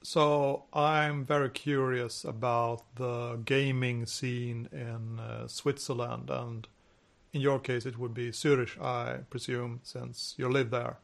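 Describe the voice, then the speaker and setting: A man's voice: mid-range voice, level tone, takes it slowly, vocal fry. A man hosting an interview, asking curious, hesitant questions. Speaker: podcast host, interviewer. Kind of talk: casual interview.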